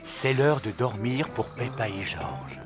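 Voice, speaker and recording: A man narrating a children's cartoon like a storybook, explaining things clearly and simply, with music behind. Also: mid-range voice, animated, takes it slowly.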